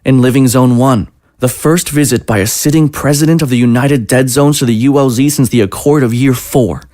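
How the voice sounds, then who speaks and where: A man acts out a smooth-talking adviser to a president in an audio drama. He is formal, placating and wordy, with a medium-pitched voice in a very dry recording. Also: a little fast, dark tone, no room sound, lightly acted. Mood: courtly.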